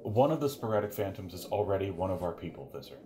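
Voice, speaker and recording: A man's voice: sits low, sing-song, quick pace, mellow. A man playing a formal, obsequious henchman reporting schemes to his master in an audio drama. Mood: anxiously obedient. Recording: noisy covert room recording.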